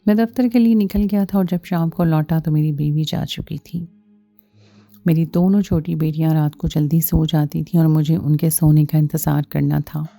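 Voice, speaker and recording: A woman's voice: low-pitched, fairly even intonation, moderate pace, creaky, warm tone, no room sound. A woman reading a scripted first-person story as its narrator, with music behind her.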